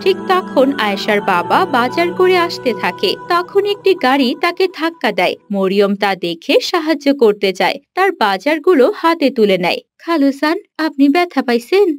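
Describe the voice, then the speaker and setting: A woman's voice: sits high, sing-song, normal speed, few pauses, soft background music. A woman giving scripted narration for a cartoon story.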